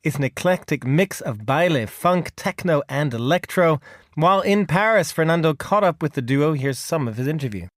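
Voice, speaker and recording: A male radio presenter with a fairly high voice and a polished delivery. He links the segments with smooth segues. The sound is dry and close to the mic. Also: lively intonation, normal speed, no room sound. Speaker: radio host.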